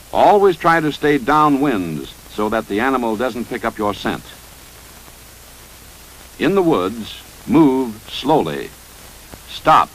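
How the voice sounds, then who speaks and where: Male narrator, American, 1950s military training film style: instructive, authoritative, measured, with animated intonation. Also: medium pitch, rough recording. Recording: 1955 training film soundtrack.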